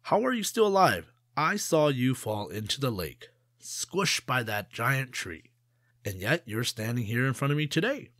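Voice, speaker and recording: Man doing greedy storybook king, incredulous, lively. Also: mid-range voice, a little slow, no echo, studio quality. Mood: astonished. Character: vain, greedy male king, astonished.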